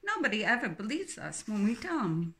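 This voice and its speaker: A woman reading a novel aloud, voicing a cheerful, chatty young English woman with an English accent who talks with excitement. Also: mid-range voice, fairly quick. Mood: excited. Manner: bubbly and excitable.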